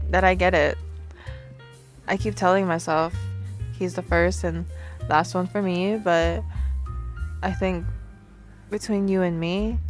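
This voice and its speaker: A woman's voice: medium pitch, close to an everyday voice. A woman playing a playful, awkward speed dater in an ASMR roleplay, chatting in a natural, rambling, giggly way with music behind her. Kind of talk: ASMR roleplay, natural conversational acting to the listener.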